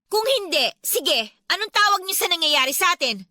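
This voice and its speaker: A woman's voice: extremely high pitch, sing-song, normal speed, pushed and bright, no echo, studio quality, full character voice. A woman playing a distressed, pleading teenage daughter from a poor family in a Tagalog radio drama. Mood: frustrated, weary. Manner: pleading, questioning, respectful to her father.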